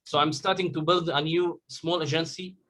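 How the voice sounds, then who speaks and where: Egyptian guy on a video call, second-language english, lots of pauses and restarts, slightly high voice. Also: fairly even intonation, fairly bright tone, a little hiss or hum. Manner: halting, searching for words. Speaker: agency owner sharing story. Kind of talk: casual group call.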